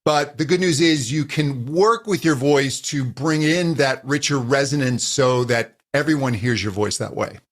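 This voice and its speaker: A man who coaches voice users, answering interview questions and explaining at an uneven, animated pace. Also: medium pitch, moderate pace, few pauses. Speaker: voice coach, guest.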